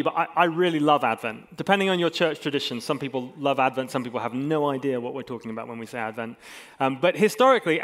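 A man's voice: mid-range voice, fairly bright tone. A man speaks as a pastor to his congregation. His sermon is informal and conversational, with a mid-range voice and dry asides at his own expense. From England and Hong Kong. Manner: informal, self-deprecating humour.

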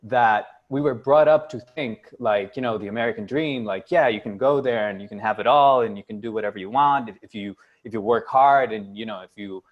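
A man's voice: on the low side, a little fast, hardly any pauses, dull audio. A man from Costa Rica speaking hesitantly, with many fillers, in a casual discussion. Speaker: panelist.

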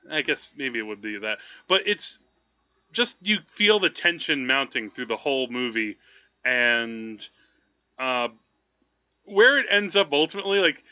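A man co-hosting a podcast, chatting casually about films in an animated, digressive way. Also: medium pitch, measured pace, dry recording, highs cut off. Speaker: podcast co-host. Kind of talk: casual film chat.

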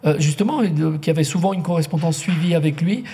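Man moderating a book talk, italian first language, halting and thoughtful, mellow voice, echoey hall. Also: fairly high pitch, slight background noise. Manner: thoughtful, halting, many restarts. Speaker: writer and translator moderating a literary talk. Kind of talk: hesitant conversational interview.

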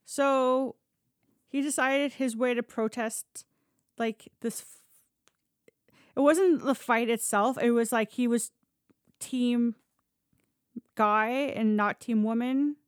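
A woman's voice: a little high, fairly even intonation, takes it slowly, pauses often, clean tone, no echo, clean studio sound. A woman telling stories in a casual, chatty podcast style, informal and blunt, with loose swearing. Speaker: podcast storyteller and host. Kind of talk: casual conversational storytelling.

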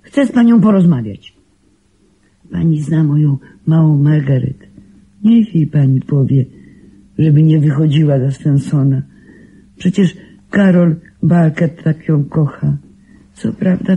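An actress playing a very old, confused and stubborn woman in a radio drama, rambling insistently in a frail, husky voice. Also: sits low, dark tone, full character voice, rough recording. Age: older.

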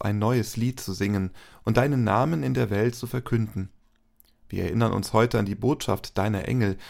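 A man's voice: on the low side, creaky, darkish tone. A man reading psalm verses and prayers as co-leader of a church service.

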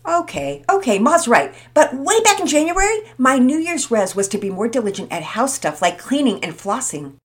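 A booming, high-pitched boy bursting with energy, all swagger and jokes, as a woman reading the story puts him on. His voice leaps up and down with every line. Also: sing-song, moderate pace, few pauses. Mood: exuberant. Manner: boisterous, brash. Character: exuberant loud joking boy.

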